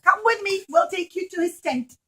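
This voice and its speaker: A woman playing gullible enemy soldiers in a children's puppet show, calling out loudly and with exaggeration. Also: extremely high pitch, normal speed, pitched above the performer's own voice. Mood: eager.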